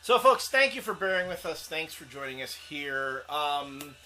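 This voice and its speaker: A man's voice: fairly high pitch, big pitch swings, unhurried, sharp tone, soft background music. A man, co-hosting a casual comics chat show, rambling with plenty of fillers. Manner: rambling, laughs often, many fillers. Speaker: co-host.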